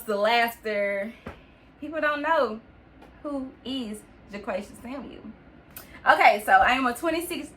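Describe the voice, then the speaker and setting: Podcast host, late-twenties woman, fairly high bright voice, chatty and direct with listeners. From New York. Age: 20s. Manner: chatty, addresses listeners as y'all and sis. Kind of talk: personal storytelling podcast.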